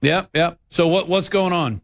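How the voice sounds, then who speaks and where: A man hosting a call-in talk radio show, speaking in a brisk, upbeat, conversational way as he takes callers. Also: high-pitched, gravelly.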